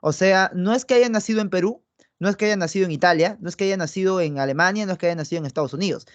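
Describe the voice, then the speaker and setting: A young man teaching philosophy in an online class. He talks to his students chattily and affectionately, tagging his phrases with quick checks like "¿no?" and "¿ya?". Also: sits high. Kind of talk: online pre-university lecture. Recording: muffled lo-fi audio.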